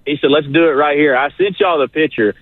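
A man's voice: on the high side, a little fast, no room sound. A man telling stories casually in a folksy, colloquial way as a caller to a radio show. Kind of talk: casual storytelling. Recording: tinny phone line.

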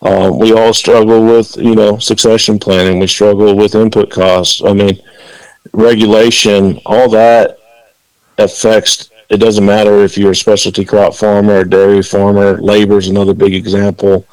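A man's voice: on the low side, little pitch movement, normal speed, a little mellow, smooth, no echo, poor audio. A man from the Dominican Republic, a farmer guest on a radio interview, talking earnestly with frequent fillers.

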